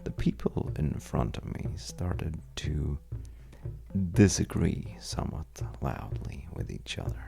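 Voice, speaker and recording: A very low, heavily creaky male voice, a man acting it out, playing a charming troublemaker at a bar in an audio roleplay. He talks slowly and intimately, with wry, teasing pauses, as if spinning a tale for one listener. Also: music in the background, exaggerated voice. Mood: amused storytelling. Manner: wry, teasing, hesitant storyteller with dramatic pauses. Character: well-dressed roguish stranger at a bar, wry trouble-seeking charmer.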